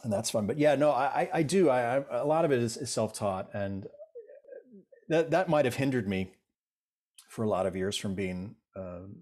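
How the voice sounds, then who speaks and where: A man's voice: medium pitch, animated, normal speed, a little mellow. Chatty middle-aged man telling a story. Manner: rambling, self-deprecating storyteller with frequent restarts. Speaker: guest. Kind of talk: casual interview chat.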